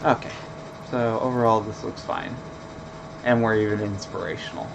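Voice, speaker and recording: A man streaming a video game with casual, laid-back commentary in a monotone voice, full of fillers. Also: fairly low pitch, normal speed, creaky, lo-fi sound, background noise. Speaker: let's play streamer.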